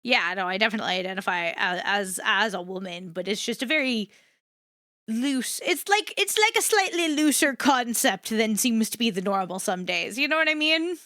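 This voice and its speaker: A woman with a high, very animated voice that is slightly rough at the edges, chatting to her stream audience. She rambles candidly, swears freely and circles back to repeat her points. The sound is close and dry. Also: normal speed, no echo. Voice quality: versatile voice, swinging between low and high. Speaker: livestreamer chatting with viewers. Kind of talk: casual rambling chat to an audience.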